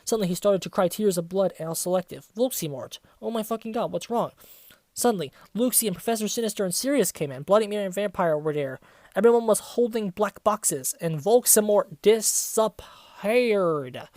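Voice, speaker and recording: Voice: mid-range voice, lively intonation, moderate pace, a little mellow, no echo. A reviewer in their twenties reading aloud in a theatrical mock-serious style, breaking off into sarcastic, mocking commentary. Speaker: online fanfiction reviewer.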